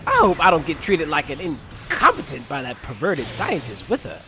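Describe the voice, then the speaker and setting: A man's voice: a little high, huge pitch swings, normal speed, sharp tone, soft background music, heavily acted. A man playing a snide, sneaky, scheming observer in an anime fan dub, with an exasperated, theatrical manner. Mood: exasperated, sly.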